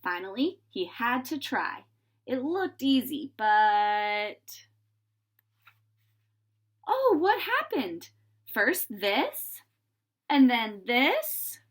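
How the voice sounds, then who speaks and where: A woman reading a children's story aloud in an animated, dramatizing way, with pauses for suspense. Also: fairly high pitch.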